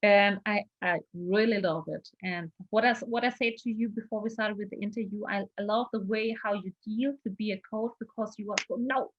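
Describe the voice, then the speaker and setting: A woman's voice: medium pitch, steady tone, brisk, few pauses, no echo, lo-fi sound. A woman with a German accent, a non-native speaker interviewing casually and searching for her words.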